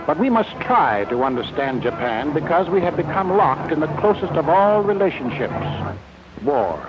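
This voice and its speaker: An American man narrating a wartime propaganda film in a scripted, grave, authoritative and measured delivery. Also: a little high, sing-song. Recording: muffled 1940s film soundtrack, loud music. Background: loud film score.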